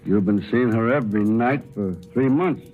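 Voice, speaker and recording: A man's voice: mid-range voice, normal speed, soft background music, natural voice. A man playing a pushy, suspicious gangster with needling street slang, in 1940s radio crime style. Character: pushy, menacing male gangster, suspicious and cold. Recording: muffled old radio drama recording.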